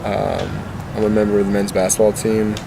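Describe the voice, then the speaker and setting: A young man, a college basketball player, introducing himself quickly and casually. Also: on the low side, monotone, gravelly, noisy background. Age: young adult. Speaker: student athlete.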